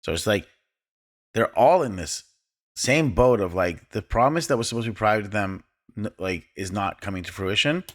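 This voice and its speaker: Lower-voiced man giving earnest film analysis. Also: normal speed. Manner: earnest, builds long analytical points. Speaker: podcast co-host.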